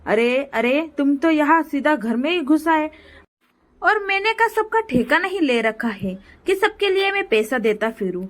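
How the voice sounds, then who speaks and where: Casting: woman as tight-fisted village rich man, dismissive and scolding, high-pitched, moral tale. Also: big pitch swings, a little slow. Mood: annoyed.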